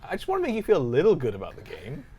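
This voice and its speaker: A man's voice: on the high side, wildly swooping pitch, rapid-fire, crowd noise. A man co-hosting a gaming podcast, chatting casually with a teasing, bantering manner. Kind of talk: casual chat about video games.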